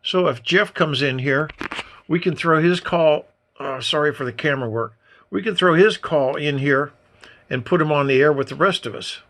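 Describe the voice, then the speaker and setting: A man's voice: a little high, moderate pace, a bit bright, a little hiss or hum. A man giving a casual, folksy hands-on demonstration as a hobbyist presenter, explaining things informally. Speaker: amateur radio hobbyist presenter.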